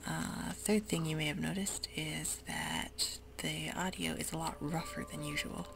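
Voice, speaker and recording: Whispering low-voiced woman with gravelly fry. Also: steady tone, measured pace. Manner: soft whisper, casual and rambling. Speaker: ASMR gaming channel host. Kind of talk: whispered ASMR gameplay commentary. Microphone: desk mic while gaming. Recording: rough home recording with audible hiss.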